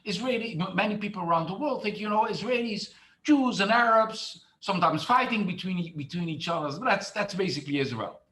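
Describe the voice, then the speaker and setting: A man's voice: high voice, lively intonation, fairly quick, a bit bright. A middle-aged man from the Netherlands, a tourism marketing expert who speaks with many fillers and restarts in an online presentation.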